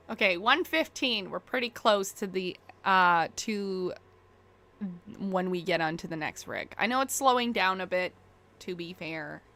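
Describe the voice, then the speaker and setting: A woman's voice: mid-range voice, pushed and bright. A young woman streamer, chatting casually and rambling, self-deprecating and laughing often. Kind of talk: casual chat while waiting on a stream.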